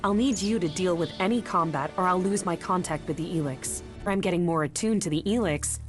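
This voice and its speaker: Casting: woman playing intense mystic game heroine, earnest, searching, lowish voice, faint score underneath. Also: a little fast, faint music behind, natural voice. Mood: strained, troubled. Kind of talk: video game cutscene acting.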